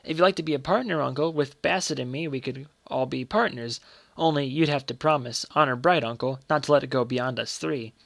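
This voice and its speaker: A man voicing an earnest, secretive young boy in a story, speaking gravely and politely. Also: medium pitch, brisk, fairly bright tone, no echo.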